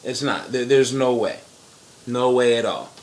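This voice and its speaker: A man giving blunt, profane opinions in casual chat as co-host, with sudden jumps in pitch. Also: unhurried, poor audio.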